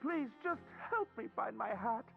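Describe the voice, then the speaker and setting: A timid, stammering young prisoner. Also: male voice, very high voice, sing-song, moderate pace, vocal fry, narrow, tinny audio, faint music behind, heavily acted. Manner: hesitant and stammering, very polite. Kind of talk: video game voice acting.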